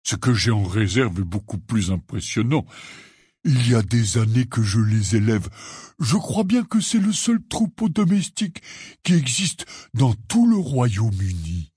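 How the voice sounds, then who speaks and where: A man acts out a huge, hearty gamekeeper from a storybook. The voice is rough and husky, with a slow, blustery drawl that swings widely in pitch. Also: big pitch swings, a little mellow, no room sound, studio quality, exaggerated voice. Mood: proud. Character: big, hearty, stubborn, good-natured gamekeeper teacher.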